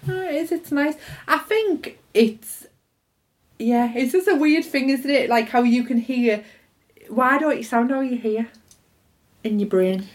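A woman's voice: high-pitched, measured pace. Chatty young woman. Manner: chatty, excitable, swears casually. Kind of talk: casual chat.

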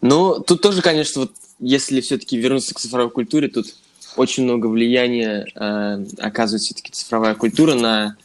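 A man's voice: medium pitch, a little fast. A man in his twenties co-hosting a casual podcast, joking and talking directly to listeners. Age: 20s. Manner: relaxed, joking, addresses listeners as friends. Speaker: podcast co-host.

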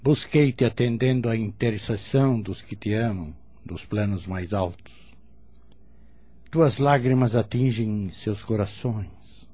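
A generous, fatherly male spirit, consoles in Brazilian Portuguese. The voice is fairly low, mellow and husky, and the words come slowly and with compassion. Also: no echo. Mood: tender consolation. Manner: paternal, consoling. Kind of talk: radio drama acting.

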